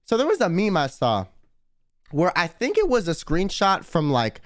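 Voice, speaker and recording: A man's voice: high voice, huge pitch swings, normal speed, dry recording, clean studio sound. A young man streaming a casual late-night chat, rambling in slang and reading donations aloud. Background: faint background music.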